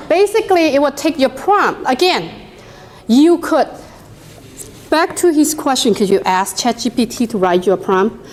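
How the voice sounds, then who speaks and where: Chatty high-voiced woman with an accent. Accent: non-native English accent. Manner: chatty, animated, laughs often, talks to the audience directly. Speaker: workshop presenter. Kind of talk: live software demo to a room.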